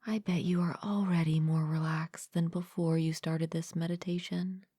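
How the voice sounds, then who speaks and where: A woman leading a guided meditation as a mindfulness coach, speaking gently and calmly in a soft, breathy, partly whispered voice, close to the mic. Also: on the low side, dry recording. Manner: gentle, calm, unhurried with long pauses. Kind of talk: guided meditation, soothing scripted narration.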